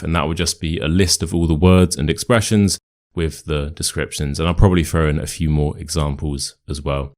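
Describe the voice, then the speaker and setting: A young British man, an English teacher, talking casually to camera and rambling a bit, his voice nasal from a cold. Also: deep voice. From England. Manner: rambling, relaxed, answers questions aloud.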